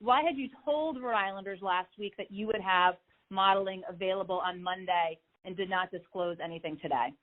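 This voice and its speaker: A woman's voice: medium pitch, fairly quick. A woman working as a reporter, putting a challenging question on a press conference call. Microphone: phone line. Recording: tinny phone conference line.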